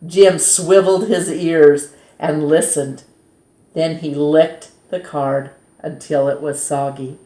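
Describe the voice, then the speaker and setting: A woman reads a children's story aloud as a storytime host, in an expressive, measured way that dramatizes key words. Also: sits low. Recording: roomy, echoing sound.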